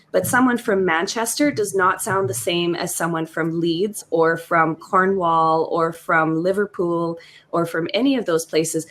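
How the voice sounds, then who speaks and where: Woman, Western Canadian accent, thirties, lowish pitch, chatty, enthusiastic, video call. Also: a bit bright. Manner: chatty, enthusiastic, frequent fillers. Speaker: co-host. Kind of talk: casual video-call chat.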